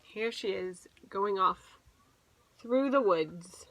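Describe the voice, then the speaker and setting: Animated, bright-voiced woman reading a picture book. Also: mid-range voice, slow, low-quality recording. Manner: animated, with explanatory asides to young listeners. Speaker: teacher reading to a young class. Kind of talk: expressive children's picture book read-aloud.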